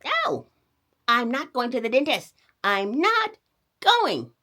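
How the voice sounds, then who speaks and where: A woman's voice: high voice, very animated, takes it slowly, pushed and bright, voice pushed up, full character voice. A woman voicing a stubborn, frightened little boy in a storybook, whiny and emphatically defiant. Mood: stubborn, upset.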